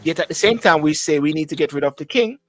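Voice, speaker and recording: A Jamaican man hosting a live talk show, with a high voice and an emphatic style that repeats key phrases. He is recorded close and dry, with faint music underneath. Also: animated, quick pace, a bit bright, no echo. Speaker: host.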